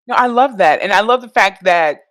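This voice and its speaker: Bright, enthusiastic woman podcast host. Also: medium pitch, lively intonation, fairly quick, no echo. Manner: enthusiastic, chatty, says "like" and "y'all". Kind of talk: casual podcast chat.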